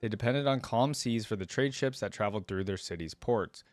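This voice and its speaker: A man's voice: lowish voice, fairly quick, no room sound. A man hosting a reaction video, moving between casual, curious commentary and reading lore aloud in a conversational way.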